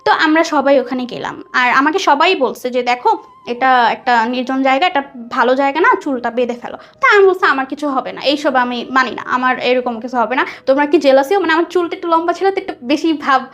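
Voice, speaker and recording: A young woman with a Bangladeshi accent telling a personal story conversationally as a guest, acting out what people said. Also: sits high. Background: background music.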